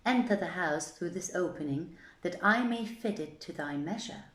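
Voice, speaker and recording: A woman voicing a wily male carpenter with measured, formal, archaic speech in a folk tale reading. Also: lowish voice, expressive, lightly acted. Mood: coaxing. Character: wily male carpenter, outwardly humble, secretly vengeful. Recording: echoey, roomy sound.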